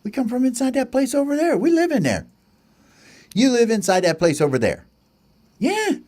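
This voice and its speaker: A man's voice: very high voice, huge pitch swings, normal speed, a little mellow, voice pushed up, full character voice. A man voicing Hope, a cheerful, naive pet bird in a children's story. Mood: eager.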